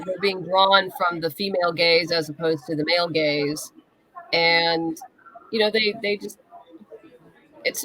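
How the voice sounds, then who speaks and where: Bright-voiced woman with a lingering cough. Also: medium pitch, low-quality recording. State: lingering cough after covid. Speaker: interview guest, comic writer and novelist. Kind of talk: casual interview chat.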